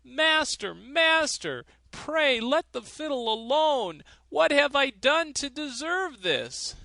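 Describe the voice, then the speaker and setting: A man's voice: very high-pitched, very animated, slow pace, vocal fry, sharp tone, dry recording, pitched above the performer's own voice, exaggerated voice. A man voicing a desperate old miser in a fairy tale, wailing and pleading. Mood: pained begging. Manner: wailing, begging.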